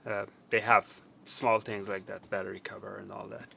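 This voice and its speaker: A man's voice: lowish voice, little pitch movement, normal speed, vocal fry, sharp tone, a little hiss or hum. A man presenting a casual, unscripted how-to demonstration, talking informally and conversationally and repeating words for emphasis. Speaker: presenter of a tech repair tutorial.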